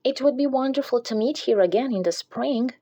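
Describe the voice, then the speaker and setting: A woman voicing a curious, stubborn young female swallow from a storybook, earnest and expressive. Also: high voice, a little fast, no room sound, pitched above the performer's own voice.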